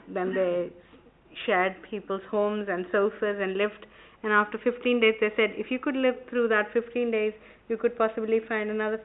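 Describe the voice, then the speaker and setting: A woman's voice: fairly high pitch, narrow, tinny audio. A woman speaking as a councillor, asking a formal question at a public committee meeting.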